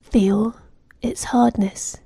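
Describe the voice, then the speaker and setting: A woman narrating a guided meditation in a soothing, gentle manner, giving measured instructions with soft phrase endings. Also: fairly high pitch, steady tone, creaky, husky, no room sound.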